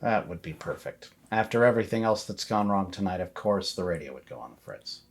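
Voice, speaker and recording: A man's voice: low-pitched, lively intonation, a little fast. A man playing an irritated, sarcastic suburban father who scolds in a clipped, exasperated way.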